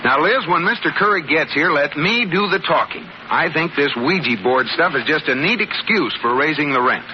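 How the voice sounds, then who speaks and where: Man playing an animated, exasperated radio husband. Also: a little high, normal speed, natural voice. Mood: firm, exasperated. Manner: firm, dry, teasing. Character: exasperated, sensible, bantering young husband. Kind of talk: 1940s radio comedy acting. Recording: muffled, noisy old radio recording with audience.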